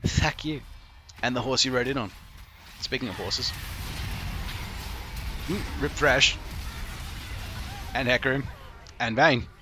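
A man's voice: mid-range voice, takes it slowly, long pauses, background noise. A man in his thirties coaching on a stream, reviewing a player's replay with sarcastic, profane jokes, talking into a headset at home. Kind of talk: live-streamed replay commentary.

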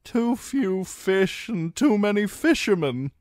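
A man's voice: a little high, huge pitch swings, takes it slowly, no room sound, clean studio sound, pitched above the performer's own voice, heavily acted. A man voicing a gloomy, touchy lord who speaks in a sour, brooding tone. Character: gloomy nervous male lord.

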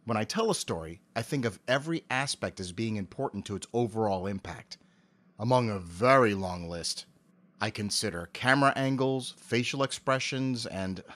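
A man speaking as an interview guest, giving prepared answers in an articulate, measured way. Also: mid-range voice, fairly bright tone. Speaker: interview guest, amateur voice actor and animator.

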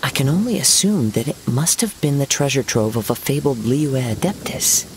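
A man voicing a gentle, serene young wandering poet swordsman in a game, with measured, formal, poetic phrasing. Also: medium pitch, low-quality recording. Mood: reflective, wistful. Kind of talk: scripted game dialogue, storytelling. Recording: steady rain noise behind voice.

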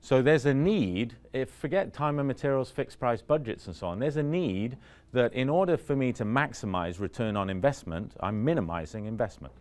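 A man's voice: medium pitch, animated, moderate pace, a little mellow. A man in his forties giving a talk at a conference, speaking casually and often checking in with the audience with "right?". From Vancouver, Canada. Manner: conversational, asks the room questions, frequent "right?". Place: conference room in front of an audience.

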